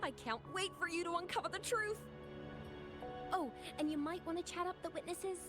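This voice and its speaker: A woman's voice: very high voice, moderate pace. An actress playing an intrigued young woman who hires help for a mystery in a video game, talking like a chatty storyteller over music. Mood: eager.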